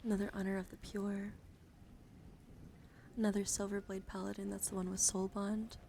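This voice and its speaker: A woman hosting an ASMR livestream, speaking softly and casually at a close microphone in an unhurried show-and-tell style with murmured, whispery asides. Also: mid-range voice, flat delivery, gravelly, slight background noise. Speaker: livestream host. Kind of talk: soft-spoken ASMR card show-and-tell.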